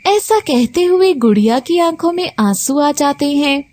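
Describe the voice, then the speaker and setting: A woman's voice: high-pitched, lively intonation, measured pace, darkish tone. A woman narrating a scripted children's cartoon story.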